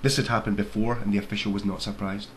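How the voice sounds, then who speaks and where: A man's voice: fairly low pitch, flat delivery, fast talker. A man reading his own fairy tale aloud as scripted storybook narration. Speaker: author reading own book. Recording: faint background noise.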